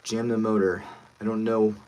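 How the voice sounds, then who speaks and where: A man's voice: lowish voice, level tone, normal speed, darkish tone, rough recording. A man chatting casually and friendly with viewers as a voice actor on a livestream, thinking aloud as he goes.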